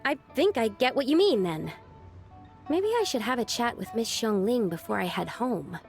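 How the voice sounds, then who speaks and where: A woman's voice: on the high side, big pitch swings, normal speed, gravelly, faint music behind. A woman voicing an earnest, determined young girl in a video game, with a youthful, plain-spoken manner. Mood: thoughtful. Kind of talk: video game character acting.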